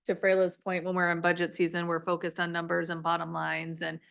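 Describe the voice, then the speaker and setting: A woman's voice: lowish voice, completely flat delivery, a little fast, vocal fry, fairly bright tone, clear, resonant tone. A woman school board member at a meeting, speaking earnestly and appreciatively, with some restarts.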